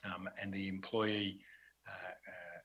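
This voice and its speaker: A man's voice: deep voice, little pitch movement, slow, slight background noise. A man giving a formal answer on a conference panel, hesitant and full of ums and uhs. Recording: dull lo-fi sound.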